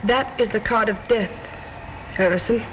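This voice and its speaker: A woman's voice: fairly high pitch, moderate pace, close to an everyday voice. Teasing, ominous card reader, played by a woman for a 1940s radio mystery. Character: woman reading cards, cryptic fortune-teller. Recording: dull old radio recording with faint hiss.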